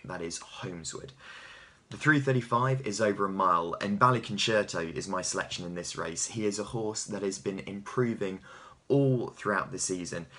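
A man's voice: sits low, pushed and bright. A man in his thirties giving horse racing tips to camera with an emphatic, punchy delivery. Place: small home office, indoors.

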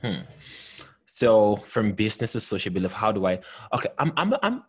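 Man, husky, lowish voice, earnest and emphatic, restarts often, video call host. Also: sing-song, moderate pace. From Cameroon. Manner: earnest, emphatic, many restarts and repeated words. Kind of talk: conversational video call chat. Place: home room with bookshelves. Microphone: headset with desk microphone.

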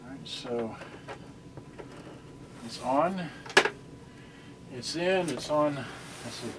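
A man's voice: on the high side, animated, drawn-out words, very noisy. An older man giving a casual, offhand, rambling product demonstration as he handles gear. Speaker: product reviewer. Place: indoor carpeted room. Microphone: camera a few feet away. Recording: distant camera microphone, loud radio music. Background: music from a cassette radio.

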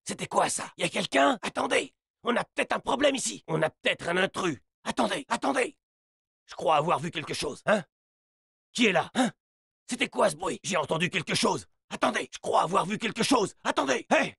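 An actor playing an alert, aggressive soldier in a video game, giving clipped military callouts. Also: male voice, high voice, expressive, normal speed, gravelly, pushed and bright, slightly rough, dry recording, studio quality, heavily acted. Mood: wary, suspicious. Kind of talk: video game battle chatter voice lines.

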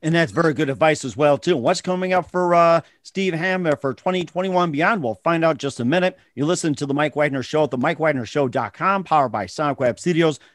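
A man's voice: fairly high pitch, a little fast, few pauses, no room sound, clean studio sound. A man hosting a show, reading sponsor plugs and promotional copy. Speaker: podcast host.

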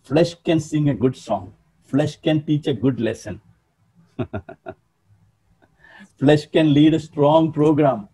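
A man in his sixties preaching a sermon in an emphatic, animated way, often calling out to his listeners, over a webcam in a small room. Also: on the high side, slow, long pauses, slightly rough. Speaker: pastor.

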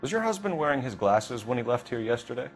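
A stern American detective in a game interrogation. His delivery is formal and confrontational, with very animated intonation. Faint music sits behind him. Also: mid-range voice, fast talker. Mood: probing. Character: stern, accusing young male police detective. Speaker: police detective. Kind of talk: dramatic game interrogation acting.

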